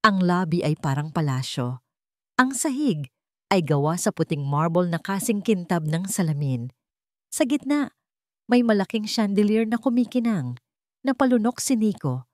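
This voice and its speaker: A woman's voice: lowish voice, very animated, normal speed, darkish tone, no room sound, studio quality. A woman reading a scripted dramatic story as its narrator.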